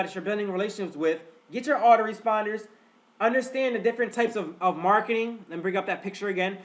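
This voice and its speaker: A man's voice: high voice, fairly bright tone. Stuffed-up man hosting a webinar. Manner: chatty, repetitive, addresses audience as guys. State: stuffed-up nose. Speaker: webinar host. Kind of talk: casual live webinar teaching.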